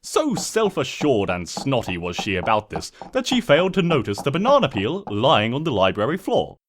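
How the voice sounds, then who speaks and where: A man's voice: mid-range voice, wildly swooping pitch, moderate pace. A man voicing a smug, mischievous trickster spirit who narrates a comic storybook in a pompous, sardonic, theatrical way. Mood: gleeful, mocking.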